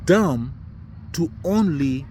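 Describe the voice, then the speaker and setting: A man's voice: a little high, expressive, very slow, warm tone, a little hiss or hum. A man from Haiti, not a native English speaker, giving an unscripted, preaching monologue in blunt, profane slang with emphatic repetition. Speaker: spiritual commentator.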